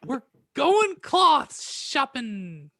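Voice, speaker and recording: A woman's voice: sits high, big pitch swings, drawn-out words, a bit bright, dry recording, clean studio sound, heavily acted. A woman voicing a crude, sullen teenage goth girl in a comedic fan fiction reading. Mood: sullen, brash.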